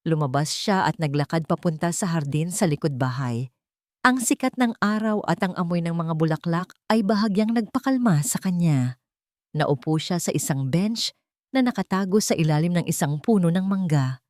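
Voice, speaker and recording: A woman's voice: on the low side, expressive, normal speed, a little mellow, dry recording, studio quality. A woman narrating a scripted romantic drama in an emotive storytelling manner.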